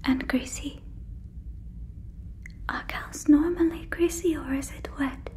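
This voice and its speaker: Naive, friendly female alien for ASMR roleplay played by a woman: high-pitched, breathy close whisper, stilted and soothing. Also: steady tone, dark tone. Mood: gently busy, pleased. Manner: slow, stilted formal phrasing, soothing, blunt. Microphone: fluffy microphone, very close.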